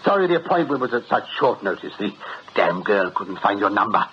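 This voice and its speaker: A jovial, gushing agency boss in a radio play, hearty and effusive, chuckling often. His pitch bounces widely. The recording is dull and hissy. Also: big pitch swings, fairly quick. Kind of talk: radio drama acting.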